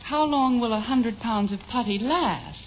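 Dull hissy vintage radio, woman acting exasperated housewife, highish voice, lively intonation, sensible and skeptical. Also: a little slow, natural voice. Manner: skeptical, chiding. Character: exasperated, sensible suburban wife. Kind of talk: 1950s radio sitcom acting. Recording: dull old radio recording with hiss.

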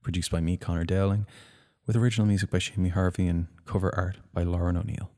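A man's voice: deep voice, flat delivery, fast talker, mellow. A man, the producer, reading scripted credits for an audio drama.

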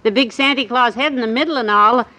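A good-natured, gently teasing middle-aged wife in a 1950s radio comedy. She speaks in a bright, crisp-edged folksy voice on a faintly noisy vintage recording. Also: on the high side, quick pace. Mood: encouraging, teasing.

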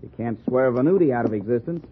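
A man's voice: mid-range voice, lively intonation, fast, natural voice. A man playing a hard, sarcastic police sergeant bullying a suspect in a 1950s radio drama. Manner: brusque, needling, sarcastic. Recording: muffled, faintly noisy old radio recording.

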